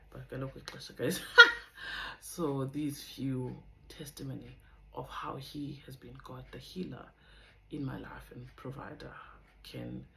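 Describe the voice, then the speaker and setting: A young woman with a South African accent and a deep voice, chatting in a rambling, casual way as she shares a personal testimony on her vlog. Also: vocal fry, darkish tone, roomy sound. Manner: chatty, rambling, drifts off topic. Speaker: vlogger.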